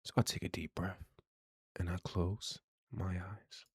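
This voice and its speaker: A man narrating a horror game playthrough in a hushed, slow, deliberate reading style. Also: sits low, level tone, breathy edge, dry recording. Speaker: game streamer, channel host.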